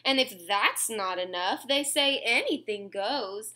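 A young woman reads a children's picture book aloud in a small room at home. Her voice is high and bright, with lively swings of pitch. She phrases each line clearly and expressively for little listeners, taking her time. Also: slow. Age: 20s. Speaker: children's story reader.

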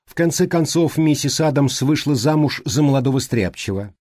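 A man voicing a prim, brisk, unsentimental female secretary in an audiobook, precise and matter-of-fact. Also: mid-range voice, expressive, dark tone, no room sound, studio quality, pitched above the performer's own voice, lightly acted. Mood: gossipy, detached. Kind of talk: audiobook character reading.

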